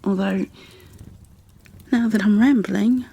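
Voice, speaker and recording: A woman playing a gentle, shy, bookish bookkeeper in an ASMR roleplay, soft-spoken and half-whispering, with a hesitant, apologetic way of talking. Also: medium pitch, steady tone, creaky, breathy edge, full character voice. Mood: shy, rambling. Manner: hesitant, apologetic, halting, careful. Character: gentle, shy, bookish female creature bookkeeper, peace-loving and kindly.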